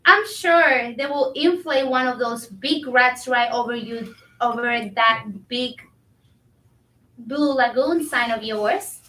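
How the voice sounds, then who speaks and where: In a roomy, distant recording, an actress plays an indignant female restaurant worker in a stage play. Her voice is high and bright, and she argues firmly and confrontationally. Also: slow pace. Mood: defiant. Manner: firm, confrontational. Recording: roomy echo, distant microphone.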